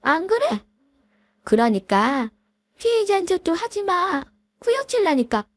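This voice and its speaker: A woman's voice: very high voice, very animated, measured pace, no room sound, clean studio sound, pitched above the performer's own voice, heavily acted. A woman voicing an arrogant former school bully, a spiteful woman who taunts and scorns her old classmate. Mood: sneering.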